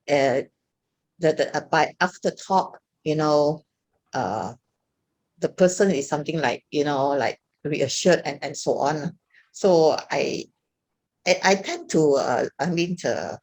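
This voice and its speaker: An older woman with a Malaysian English accent speaks on a video call in a low, unhurried voice. She hesitates and restarts often and fills pauses with "uh" as she explains. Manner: hesitant, many fillers and restarts. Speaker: praise dance teacher. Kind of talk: casual video call chat.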